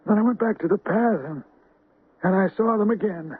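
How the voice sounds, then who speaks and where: An actor playing a shaken, halting cemetery groundskeeper in a radio drama. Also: male voice, sits high, husky. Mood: uneasy, hesitant. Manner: halting, hesitant. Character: shaken male cemetery groundskeeper reporting strange sights. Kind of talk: 1970s radio drama acting. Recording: muffled phone-like old radio recording.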